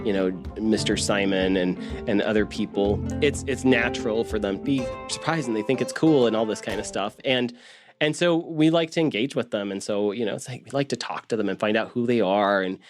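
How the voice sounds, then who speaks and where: A man's voice: lowish voice, fairly quick, music in the background. A man chatting casually and informally as an interview guest. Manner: informal, self-described silly. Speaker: cast member and interview guest.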